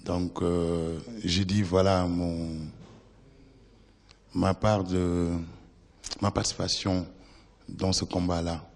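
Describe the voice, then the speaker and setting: A man from Senegal speaks slowly in a very deep voice, pausing between his words. His voice rings out with a long reverb, as in a big hall. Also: little pitch movement, gravelly. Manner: slow and halting, with pauses. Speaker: actor and storyteller. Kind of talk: interview answers.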